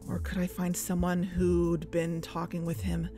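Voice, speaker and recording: A woman's voice: fairly low pitch, completely flat delivery, a little slow, dark tone, faint music behind, natural voice. A woman playing an understated, pensive investigator narrating in first person in a fiction podcast.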